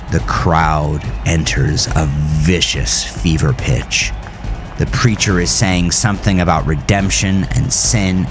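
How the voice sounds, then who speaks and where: A man reading his own horror story as a dramatic, intense scripted narration. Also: sits low. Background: music under the voice.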